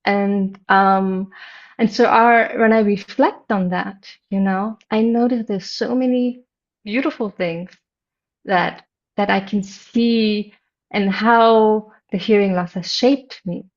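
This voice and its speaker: A woman from Germany speaks with a light German accent blended with a deaf accent. She is a meditation teacher, reflective and gentle, at a middle pitch. Her audio sounds slightly dull. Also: steady tone, slow. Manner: reflective, gentle, with soft laughs. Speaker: interview guest, meditation teacher. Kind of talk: casual interview.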